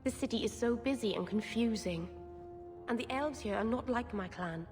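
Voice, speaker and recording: An actress playing a naive young elf in a fantasy game, chatting in a guileless, wondering way. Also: female voice, normal speed, music in the background, close to an everyday voice. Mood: wistful, friendly. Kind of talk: cinematic fantasy game acting. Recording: faint rumbling noise behind.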